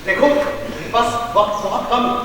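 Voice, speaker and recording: A man's voice: very high voice, moderate pace. A man acting in a stage play as an arrogant, impatient celebrity crime novelist with an imperious, condescending way of talking. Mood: impatient, dismissive. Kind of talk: theatrical Hindi stage acting. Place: stage in an auditorium. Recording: distant mic in echoey noisy hall.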